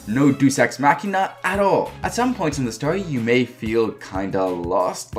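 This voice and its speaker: Reviewer narration, lively swooping pitch, informal and wry delivery, music underneath. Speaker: video game reviewer.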